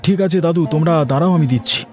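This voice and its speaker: A man's voice: on the high side, racing, music in the background, lightly acted. A man voicing a good-natured, hard-working poor village fisherman in a cartoon moral story, with a folksy way of thinking aloud. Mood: obliging. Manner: talks to himself aloud, folksy. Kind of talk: animated moral story voice acting.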